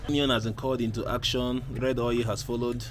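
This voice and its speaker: A man's voice: medium pitch, little pitch movement, fairly quick. A man in his thirties with a Nigerian accent, vlogging with playful jokes and bits of pidgin, filmed at arm's length outdoors. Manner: jokey, playful, mixes in pidgin. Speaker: vlogger host. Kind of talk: casual vlog narration. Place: outdoor village compound and smoky kitchen. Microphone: camera held at arm's length.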